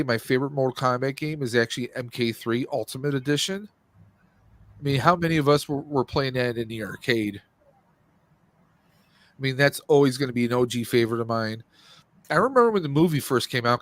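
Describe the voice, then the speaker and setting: A man's voice: mid-range voice, a bit bright, no room sound. A man in his forties hosting a casual livestream podcast, chatting informally with jokey asides. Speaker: podcast host. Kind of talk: casual livestream chat about movies and games.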